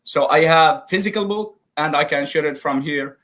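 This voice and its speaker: A man whose first language is Arabic, speaking broken English on a video call, explaining word meanings slowly through examples in a live debate. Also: fairly high pitch, fairly bright tone. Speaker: debate guest.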